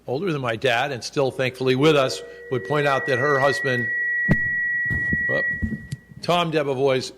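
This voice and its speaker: A man in his sixties giving a formal commemorative speech, measured, with a loud projecting voice and quotes read with emphasis. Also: mid-range voice, noisy background. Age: 60s. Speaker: school official giving a speech. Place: indoor event hall.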